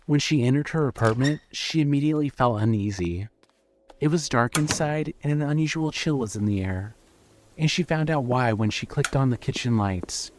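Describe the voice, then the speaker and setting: Male narrator for audio fiction: medium pitch, scripted storytelling, some background noise. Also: expressive, normal speed. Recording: clearly noisy background.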